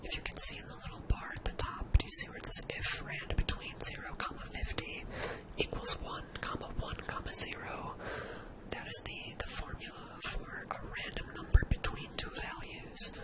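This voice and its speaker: A person giving an ASMR software lesson in a breathy, unvoiced whisper, explaining step by step in an instructional way. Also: normal speed, low-quality recording. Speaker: ASMR tutorial host.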